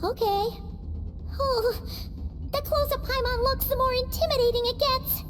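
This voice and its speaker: A woman voicing a small, childlike flying companion in a video game, chirpy, excitable and bossy, with a squeaky voice and exclamatory delivery. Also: unhurried, music in the background. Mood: determined. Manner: refers to self in third person, exclamatory. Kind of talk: video game character acting.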